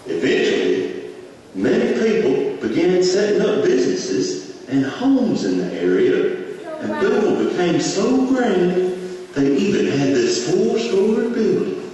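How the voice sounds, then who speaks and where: A man acts as a patient, teasing puppet-show dad, folksy and instructive. His voice is mellow and swings widely in pitch, heard from far off in a big echoey space. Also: sing-song, unhurried. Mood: patient, explaining. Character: patient, teasing dad explaining town history to his child. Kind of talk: scripted puppet show dialogue. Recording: echoey hall from far off, faint noise.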